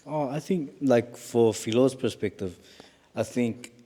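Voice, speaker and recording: A business owner from South Auckland, speaking in a measured, thoughtful way as a guest. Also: male voice, mid-range voice, dark tone, breathy edge, in a large hall. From South Auckland, New Zealand.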